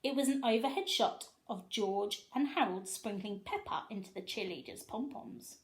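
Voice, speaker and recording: A woman reading a storybook aloud to young children in an expressive storyteller style. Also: medium pitch, moderate pace.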